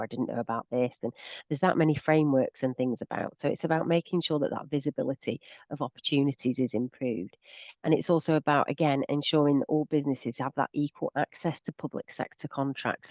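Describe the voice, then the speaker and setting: A woman's voice: deep voice, dry recording. A woman with a slight cold, explaining a legal topic in a chatty, self-deprecating way on a video call Q&A with many restarts. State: has a cold. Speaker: solicitor. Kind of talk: webinar Q&A explanation. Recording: dry tinny video call audio.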